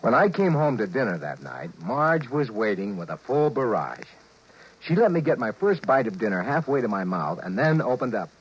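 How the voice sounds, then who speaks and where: An actor in a 1950s radio drama playing a harried married executive who narrates and stammers nervously. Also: male voice, mid-range voice, sing-song, normal speed, slightly rough. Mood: narrating. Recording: muffled vintage radio broadcast.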